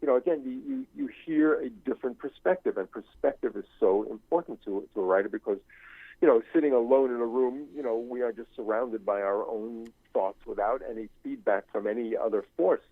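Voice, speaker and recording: Halting older man on a phone-like line. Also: medium pitch, breathy edge, no echo. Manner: halting, searching, circles back over phrases. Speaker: guest, screenwriting professor and writer. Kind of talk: unscripted interview talk.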